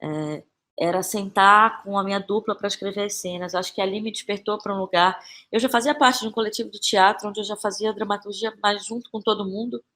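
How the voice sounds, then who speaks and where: A Brazilian woman speaks as a guest in an interview, in a middle-pitched voice. Her talk is informal and reflective, and she often checks in with small tag questions. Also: steady tone, a little fast, bright, forceful tone. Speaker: podcast guest, actress and playwright. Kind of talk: conversational interview answers.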